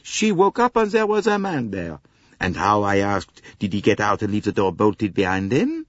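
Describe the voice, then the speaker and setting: Flustered French train conductor, swooping pitch. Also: male voice, wildly swooping pitch, normal speed, no room sound, muffled recording. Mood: exasperated. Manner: dramatic, put-upon.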